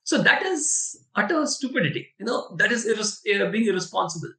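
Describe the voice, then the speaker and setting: A man's voice: sits high, sing-song, a little fast, gravelly, bright, forceful tone. A man with an Indian accent speaking as a webinar panelist, telling examples emphatically and checking in with listeners as he goes. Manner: emphatic, storytelling with rhetorical check-ins. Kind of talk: conversational question-and-answer talk. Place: online video webinar. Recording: roomy echo, distant from the mic.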